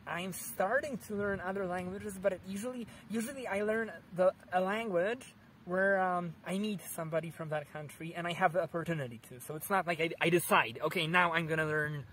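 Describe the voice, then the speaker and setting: A man in his thirties from Poland with a Polish accent, answering interview questions in a park with lively gestures and many restarts. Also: high voice, brisk, a little hiss or hum, rough recording. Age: 30s. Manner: animated, gesturing, frequent restarts. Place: outdoors in a sunny park.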